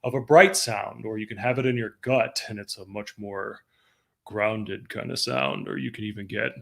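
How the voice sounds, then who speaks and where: A man hosting a casual roleplaying-game discussion over a video call, talking through a stuffy, sniffly cold with quick examples. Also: fairly low pitch, vocal fry, fairly bright tone. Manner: chatty, explains with quick examples. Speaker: host.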